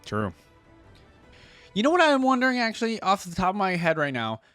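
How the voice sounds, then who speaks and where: A man's voice: sits high, huge pitch swings, a little fast, fairly bright tone, no echo, studio quality, soft background music. A man giving casual reaction commentary on game voice lines as a host, musing aloud in loose, informal fragments.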